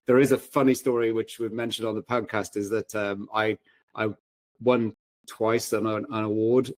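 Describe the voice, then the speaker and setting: Male interview guest, average pitch, casual wry raconteur who wanders and self-interrupts; very dry sound. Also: no room sound. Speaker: podcast guest, paramedic and fiction writer. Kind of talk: casual anecdotal interview talk.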